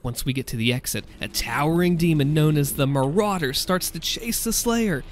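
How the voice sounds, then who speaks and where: A man hosting a gaming video, reading and commenting in a deliberate, theatrical narrator style. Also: on the high side, lively intonation, slight background noise.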